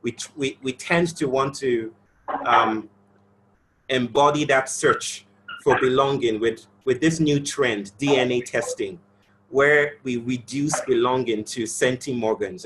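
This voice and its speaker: Man, host, video call mic, effusive introductions, mid pitch, faint background hiss. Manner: effusive, affectionate, quick bursts. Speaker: session host.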